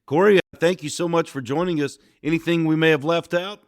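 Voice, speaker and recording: A man's voice: fairly high pitch, brisk, dry recording, studio quality. Affable male podcast host. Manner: affable, steady, with filler "uh"s. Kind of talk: interview podcast hosting.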